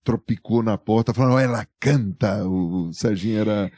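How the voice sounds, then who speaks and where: A man's voice: on the low side, moderate pace, dark tone, no room sound. A man hosting a podcast interview, teasing his guest and joking as he asks questions. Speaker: podcast host. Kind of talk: casual interview chat.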